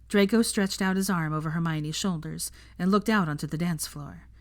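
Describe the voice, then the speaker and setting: A woman's voice: on the low side, moderate pace. A woman reading a story aloud as a narrator, in a scripted storytelling style. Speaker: audiobook narrator of fan fiction.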